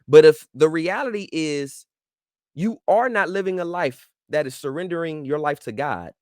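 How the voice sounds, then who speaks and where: A man hosts his own advice show and talks straight to the listener in a casual, slang-filled way. His voice sits a little high for a man and swings widely up and down as he presses his points with preachy emphasis. The sound is close and dry. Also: animated, moderate pace, a little mellow, dry recording. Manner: informal slang-filled speech. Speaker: show host.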